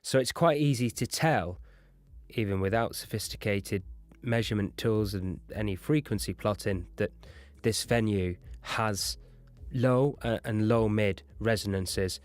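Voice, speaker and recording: A man's voice: lowish voice, measured pace. A man narrating an explanatory lesson as an audio engineering instructor.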